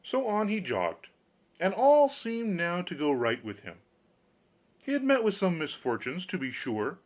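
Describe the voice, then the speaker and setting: A man reading a fairy tale as an audiobook narrator, in a scripted, expressive storytelling style. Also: high-pitched.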